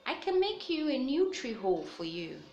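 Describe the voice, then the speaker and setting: A woman's voice: sits high, sing-song, moderate pace, darkish tone, echoey room, lo-fi sound, slight background noise, pitched above the performer's own voice. A woman voicing a friendly female barbet in a storybook, kindly and eager to help. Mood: helpful.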